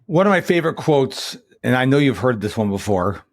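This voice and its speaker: A man's voice: mid-range voice, big pitch swings, fairly quick, dry recording. Upbeat man in his fifties, dry sound. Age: 50s. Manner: enthusiastic, motivational. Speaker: podcast host. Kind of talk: casual interview conversation.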